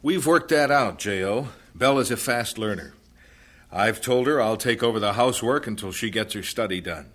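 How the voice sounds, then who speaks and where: An actor playing a kind, steady young missionary who talks in a calm, reassuring way. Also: male voice, fairly low pitch, normal speed. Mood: calm, persuasive.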